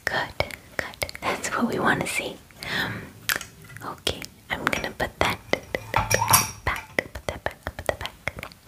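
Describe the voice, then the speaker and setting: A woman in her twenties speaking in a soft, breathy whisper close to the mic, gently and soothingly playing an attentive spa groomer in an ASMR roleplay, often repeating words. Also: slow. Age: 20s. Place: small dim room, indoors. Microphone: very close microphone, facing camera.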